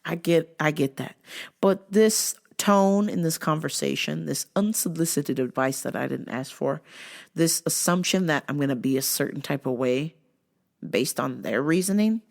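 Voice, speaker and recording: Hoarse, brash woman podcaster. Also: sits low, expressive, normal speed. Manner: brash, profane, joking, exclamatory. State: hoarse, voice worn out. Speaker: podcast host. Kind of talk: solo podcast monologue. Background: background music.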